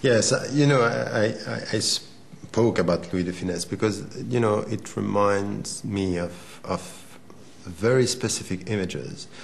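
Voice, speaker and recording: A man's voice: low voice, vocal fry, a little hiss or hum. A man in his forties from Switzerland whose first language is Arabic, answering an interview in a thoughtful, hesitant way, often repeating words. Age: 40s. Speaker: interview guest.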